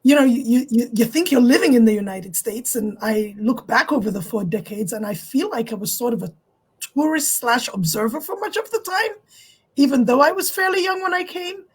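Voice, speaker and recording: A woman in her fifties, originally from Somalia, speaking as a guest in a reflective, gently humorous way with some restarts. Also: fairly high pitch, expressive, moderate pace, husky. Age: 50s. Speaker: guest speaker, university staff. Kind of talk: reflective personal talk.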